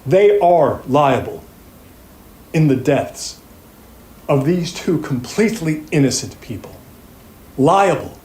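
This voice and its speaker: A male actor plays a grave courtroom lawyer making a formal opening statement for an audio drama. He speaks slowly and deliberately, with solemn weight. The room rings with clear echo around his voice. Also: fairly high pitch, darkish tone. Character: sombre male plaintiffs' trial lawyer addressing a jury. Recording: echoey room.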